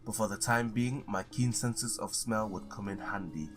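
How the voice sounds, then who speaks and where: Hard-boiled male ex-cop detective for a visual novel, read by a man, lower register, wry, game music clearly behind. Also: moderate pace, close to an everyday voice. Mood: brooding narration.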